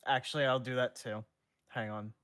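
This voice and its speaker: A man co-hosting a casual stream, laughing loudly and swearing offhandedly, who also reads a fanfic aloud. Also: medium pitch, fairly even intonation, moderate pace, smooth, no echo, clean studio sound. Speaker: co-streamer and reader.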